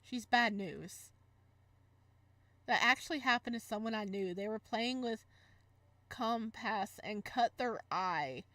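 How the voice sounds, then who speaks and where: A woman hosting a gaming video, moving between expressive story narration and casual, animated commentary. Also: fairly high pitch, unhurried, no echo. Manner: animated, exclamatory asides. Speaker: gaming video host reading a visual novel.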